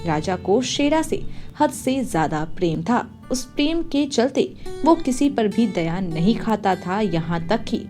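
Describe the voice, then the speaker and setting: A woman's voice: medium pitch, sing-song, measured pace, dark tone, faint music behind. A woman storyteller reading a scripted moral tale as narration.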